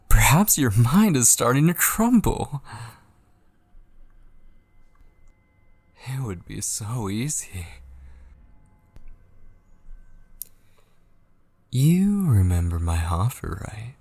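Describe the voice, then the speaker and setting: Man playing a low, breathy, obsessive yandere kidnapper. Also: darkish tone, no room sound, full character voice. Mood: taunting. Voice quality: breathy, husky, often dropping to whispers. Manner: slow, coaxing, teasing endearments. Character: obsessive possessive male yandere kidnapper, sweetly menacing and taunting. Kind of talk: ASMR roleplay monologue. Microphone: close studio microphone, dry.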